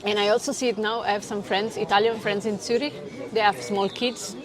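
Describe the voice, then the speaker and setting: A woman hosting a casual interview, talking in a relaxed, agreeable way with many affirmations. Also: medium pitch, brisk. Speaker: podcast host, interviewer. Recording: crowd chatter behind.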